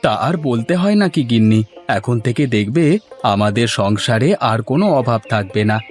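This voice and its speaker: A man playing an excitable, cheerful village husband and food seller in a cartoon folk tale, with exclamatory, folksy speech. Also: medium pitch, normal speed, faint music behind, close to an everyday voice. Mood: jovial. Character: cheerful, excitable village husband turned rice-hotel owner.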